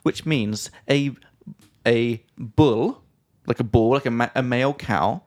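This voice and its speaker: A man hosting a podcast, chatting and teasing playfully over drinks and slowly explaining words. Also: medium pitch, darkish tone. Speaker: podcast host. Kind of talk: casual chat over drinks.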